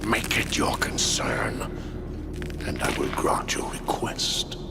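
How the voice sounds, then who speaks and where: An actor playing a menacing, imperious undead king of the dead, speaking in a deep and ominous way. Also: takes it slowly, very noisy, natural voice. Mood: scheming. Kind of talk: game cutscene voice acting. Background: loud music.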